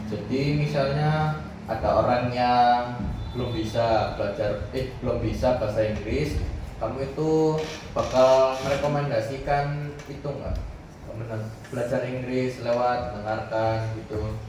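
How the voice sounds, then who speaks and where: A man's voice: mid-range voice, fairly even intonation, unhurried. A teenage boy co-hosting a school podcast, following up with curious questions. He sounds distant in a very echoey room. A noise bed runs beneath him. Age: teens. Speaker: student podcast co-host. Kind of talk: casual interview hosting.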